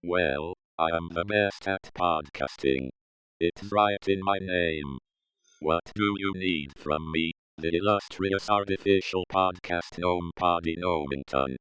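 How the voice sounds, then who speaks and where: A man's voice: low-pitched, a little slow, a bit bright, a little hiss or hum, poor audio. A man playing a pompous, self-important artificial gnome who narrates and fancies himself a podcasting expert in a comedic audio skit.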